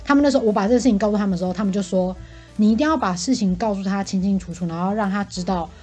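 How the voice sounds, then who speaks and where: A young woman with a Taiwanese Mandarin accent, chatting casually as a podcast co-host in an animated, unfiltered venting manner. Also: medium pitch, racing, husky, faint music behind. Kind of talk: casual chat.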